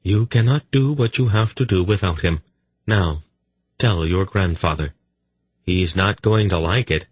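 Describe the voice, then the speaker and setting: A dry, disgusted voice from inside the hero's head hands down orders in a raised, pointed tone, as a man puts it on. It sounds sardonic and sure of itself, with a husky edge. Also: sits low, a little slow, pitched above the performer's own voice.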